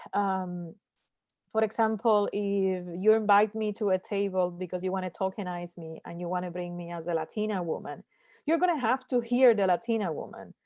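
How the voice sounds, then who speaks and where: A woman from Puerto Rico whose first language is Spanish, speaking earnestly as a panelist in an online discussion, often pausing with fillers and restarting sentences. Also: medium pitch, darkish tone, no echo. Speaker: panelist, scientist.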